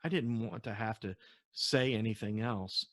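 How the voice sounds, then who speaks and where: A man who is an author reading his novel aloud as storybook narration, in an expressive storytelling manner with occasional stumbles. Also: fairly low pitch, normal speed, dry recording, studio quality.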